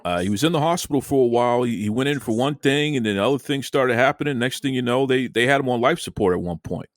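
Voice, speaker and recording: A man's voice: mid-range voice, fast, dry recording, clean studio sound. A man hosting a casual talk show, conversational and folksy, often stumbling over and repeating words. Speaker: podcast host. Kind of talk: casual chat.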